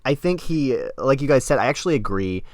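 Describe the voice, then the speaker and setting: A man's voice: mid-range voice, animated, a little fast, darkish tone, no echo, clean studio sound. A man co-hosting a podcast, casually debating in an emphatic, argumentative way. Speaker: podcast co-host and critic.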